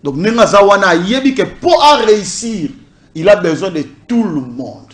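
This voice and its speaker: A man's voice: high-pitched, big pitch swings, normal speed. Emphatic Congolese political spokesman. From Congo central, DR Congo. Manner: emphatic, repetitive listing. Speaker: party communication officer. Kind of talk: political interview, code-switching.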